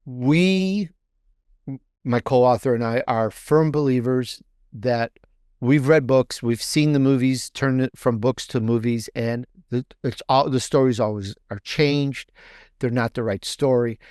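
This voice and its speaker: A man talking casually as a guest author in an interview, halting and restating phrases for emphasis. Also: mid-range voice, a little mellow, clear, resonant tone, no room sound, studio quality. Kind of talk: casual promotional interview talk.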